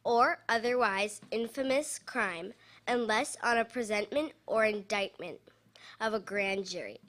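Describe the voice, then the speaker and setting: A woman's voice: mid-range voice, fairly bright tone. A woman with a mid-range voice reads a formal document aloud. She delivers it as a reader at a public event. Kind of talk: reading a legal text aloud at a public event.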